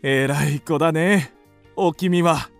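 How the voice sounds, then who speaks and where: A man's voice: on the high side, slow pace, vocal fry, soft background music. An actor playing a dignified, cautious father in a radio drama, with a formal, authoritative manner. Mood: approving. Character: dignified father, stern and cautious master of the house.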